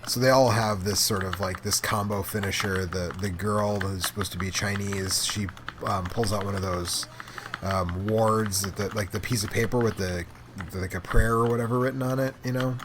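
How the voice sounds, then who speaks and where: A man with a fairly low voice hosts a casual gaming session. He talks in loose, halting asides as he plays. The recording is clearly noisy, with clicks and typing behind him. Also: fairly even intonation. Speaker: host playing an arcade game. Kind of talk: casual gaming chat and commentary. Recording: clearly noisy room, clicking controls and keys.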